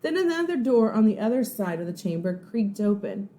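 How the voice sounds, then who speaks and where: A woman, a first grade teacher, narrating a children's storybook in an expressive, suspenseful, dramatic way. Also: mid-range voice. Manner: suspenseful, dramatic, pauses to explain words.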